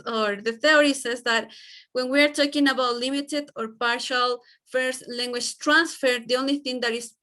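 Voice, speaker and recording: High bright woman, halting presenter. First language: Spanish. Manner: hesitant, many fillers and restarts. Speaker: student presenter. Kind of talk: class presentation on language learning.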